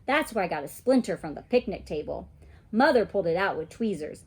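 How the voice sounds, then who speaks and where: A woman reading a children's story aloud in an expressive storytelling style, like a teacher. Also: mid-range voice, brisk, husky.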